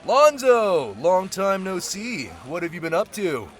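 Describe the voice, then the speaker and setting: Male actor as friendly male teammate, audio roleplay. Lighter, higher register, casual and chummy. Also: sing-song, a little slow. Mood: friendly, upbeat.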